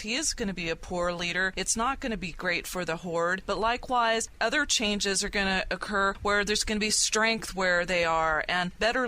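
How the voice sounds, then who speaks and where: A woman's voice: mid-range voice, sharp tone. A woman in a casual podcast discussion, reasoning aloud conversationally, recorded with some background noise. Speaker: podcast panelist. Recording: clear background noise on mic.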